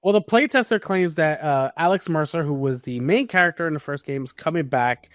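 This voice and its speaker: A man's voice: a little high, brisk, a bit bright, dry recording, studio quality. A man co-hosting a casual gaming podcast, talking emphatically and repeating his points for stress. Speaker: podcast co-host.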